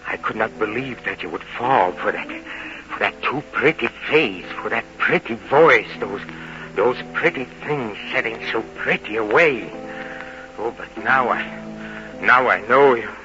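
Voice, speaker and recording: An actor playing a tipsy, jealous, brooding man bent on revenge in an old-time radio drama, speaking in halting, repetitive, theatrical phrases. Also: mid-range voice, sharp tone. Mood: bitter jealousy. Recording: dull old radio recording, music behind.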